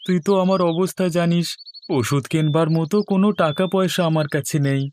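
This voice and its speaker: A man's voice: fairly high pitch, brisk, a little mellow, a little hiss or hum, natural voice. A man voicing a poor, ailing, downcast fellow in a fairy-tale cartoon, plaintive and resigned. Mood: weak, resigned. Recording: music playing under the voice.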